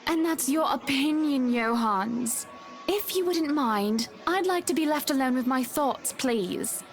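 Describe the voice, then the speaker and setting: A woman's voice: sits high, lively intonation, unhurried, soft background music. A woman playing a proud, wary young wife in a visual novel, cool and commanding in her scripted delivery. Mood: cool, indignant.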